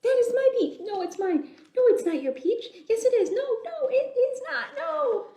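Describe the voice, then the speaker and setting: A woman voicing excitable, childlike little bugs from a picture book, exclaiming dramatically. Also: very high-pitched, moderate pace, pitched above the performer's own voice. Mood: indignant. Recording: roomy, echoey indoor sound.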